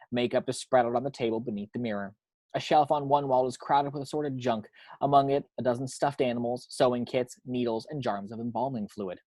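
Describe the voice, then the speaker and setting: A man narrating the action lines of a screenplay at a table read, in a measured, descriptive way with the odd stumble. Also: mid-range voice, a little mellow, no room sound.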